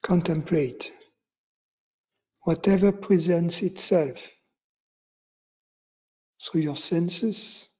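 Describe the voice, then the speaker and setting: A man teaching meditation, giving guided instructions in short, unhurried phrases with long pauses between them. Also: on the high side, warm tone, husky.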